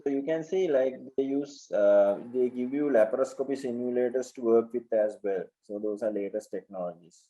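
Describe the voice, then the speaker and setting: A man's voice: medium pitch, moderate pace, hardly any pauses, music in the background, low-quality recording. A man presenting informally as an education agent, explaining things and often checking in with the listener.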